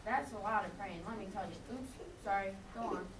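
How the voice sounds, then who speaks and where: A woman's voice: mid-range voice, fairly quick, close to an everyday voice. A woman playing a cheeky, eager, devout Aztec man puppet in a children's puppet show, with playful, chatty delivery. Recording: distant mic, echoey room, loud noise.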